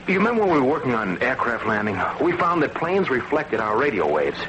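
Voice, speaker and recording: An American actor playing an excitable, eager young navy scientist in an old-time radio drama. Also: male voice, mid-range voice, fairly quick, lightly acted. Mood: eager realization. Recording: muffled, noisy old radio recording.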